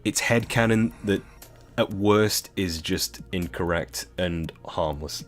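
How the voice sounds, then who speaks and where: A man's voice: low voice, slow, faint music behind. A British man reading a game novel aloud and breaking off into casual, swearing commentary. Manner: chatty, swearing, laughs at own jokes.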